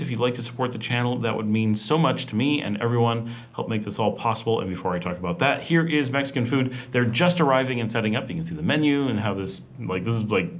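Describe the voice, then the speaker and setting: Chatty man with a low voice, rambling. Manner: rambling, with many fillers and restarts; chatty and informal. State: rushed. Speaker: vlog host. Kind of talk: casual voice-over narration of a walking tour.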